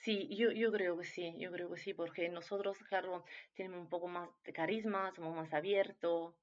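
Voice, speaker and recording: A woman's voice: lowish voice, fairly even intonation, normal speed, muffled recording. A woman from Italy with an Italian accent, a clarinet teacher answering interview questions in Spanish, hesitant with many fillers and restarts.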